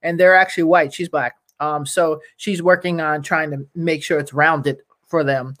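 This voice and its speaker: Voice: medium pitch, steady tone, moderate pace, a bit bright. A host interviewing a guest on a live show, affirming her often and reading out viewer comments. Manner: affirming, frequent backchannels. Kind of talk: livestream interview.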